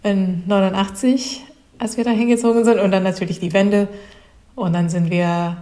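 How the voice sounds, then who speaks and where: A woman's voice: mid-range voice, steady tone, normal speed, dark tone. Female guest in her 30s, chatty and hesitant. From Japan. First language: Japanese. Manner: chatty, laughs often, many fillers. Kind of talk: casual interview.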